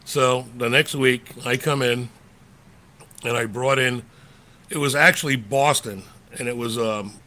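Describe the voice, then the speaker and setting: A man's voice: mid-range voice, fairly even intonation, measured pace, pauses often, pushed and bright. A man co-hosting a podcast, telling a rambling anecdote in a loose, slangy way. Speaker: podcast co-host. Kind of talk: casual storytelling chat.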